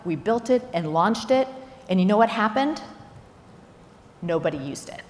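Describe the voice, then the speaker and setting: A woman's voice: medium pitch, lively intonation, moderate pace. A woman executive giving a prepared business keynote, speaking in an instructive, emphatic way with rhetorical questions. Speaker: chief executive giving a conference talk. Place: large indoor talk venue. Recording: echoey hall, noticeable background noise.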